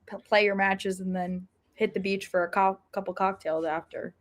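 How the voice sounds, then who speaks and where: A woman's voice: medium pitch, fairly even intonation, moderate pace. American woman co-hosting a sports podcast. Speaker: podcast co-host. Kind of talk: casual sports podcast chat.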